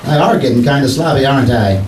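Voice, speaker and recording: A man's voice: mid-range voice, expressive, quick pace, darkish tone, natural voice. Blustery folksy husband played by a man, slangy and mock-pompous, old-time radio comedy, distant mic in a roomy hall. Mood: bumbling. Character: blustery, cocky, folksy husband, bad at grammar. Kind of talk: 1940s radio comedy acting. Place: theater stage before an audience. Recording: echoey live stage, distant mic, noise.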